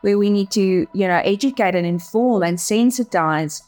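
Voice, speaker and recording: A woman's voice: medium pitch, moderate pace, a little mellow, soft background music. A woman from Namibia with an Afrikaans accent, talking earnestly in an interview as an agency director, often repeating words as she thinks. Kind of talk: interview conversation.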